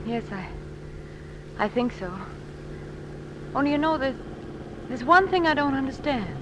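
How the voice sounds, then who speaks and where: A woman's voice: high-pitched, very animated, unhurried, full character voice. An actress playing an uneasy, inquisitive young lady in a 1940s radio drama, hesitant and questioning, on a noisy old recording. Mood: puzzled, uneasy.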